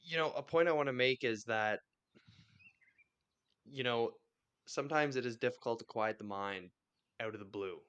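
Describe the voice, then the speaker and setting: A man hosting a podcast, talking in a relaxed, reflective and friendly way. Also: medium pitch, moderate pace, long pauses, clean tone, highs cut off. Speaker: podcast host. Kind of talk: casual podcast conversation.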